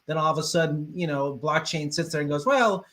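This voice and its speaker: A man's voice: on the high side, a little fast, a bit bright. A man in a conversational interview, speaking as the interviewer and thinking aloud as he hesitantly works through his points.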